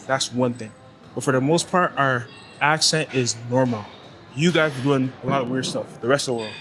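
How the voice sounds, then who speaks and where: A young man with a Toronto accent talks at a slightly high pitch, relaxed and slangy as he coaches through his sets. He is recorded on a clamped camera mic in an indoor gym, with faint music playing behind him. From Toronto, Canada. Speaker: fitness vlogger. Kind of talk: casual gym vlog with exercise tips. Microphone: clamped camera mic, no tripod.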